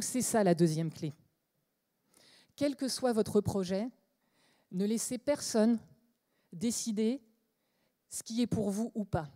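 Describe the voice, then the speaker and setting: A woman with a higher, mellow voice speaks to a conference crowd from the stage. Her talk is measured and inspirational, and it rises to emphatic questions.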